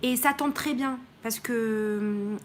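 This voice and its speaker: High-voiced, outspoken woman streamer. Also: takes it slowly, slight background noise. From Morocco. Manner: outspoken and blunt, with many hesitations. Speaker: live streamer addressing viewers. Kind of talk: opinionated live-stream monologue.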